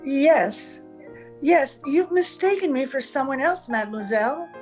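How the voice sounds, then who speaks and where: Woman acting strange weary young drifter lady, high slow voice, radio play, music behind. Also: lively intonation, darkish tone. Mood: anxious denial. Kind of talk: old-time radio drama acting. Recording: home video-call audio, music behind.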